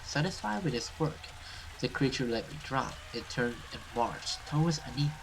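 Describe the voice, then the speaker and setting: A man's voice: mid-range voice, animated, moderate pace, vocal fry, noisy background. A man hosting a let's play, reading a horror visual novel aloud and stumbling over some words as he goes.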